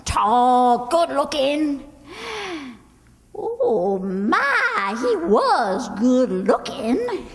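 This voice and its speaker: A woman's voice: sits high, very animated, extremely slow, exaggerated voice. A woman playing a lovestruck, feisty country boarding-house keeper with a rural Southern accent, in old-time radio comedy style. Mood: swooning. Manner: folksy, swooning then scolding. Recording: echoey hall with long reverb.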